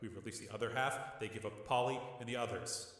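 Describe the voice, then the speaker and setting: A man voicing a calm, pragmatic male mobster who talks quietly and reasons things through. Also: fairly low pitch, a little fast, lightly acted. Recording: echoey hall.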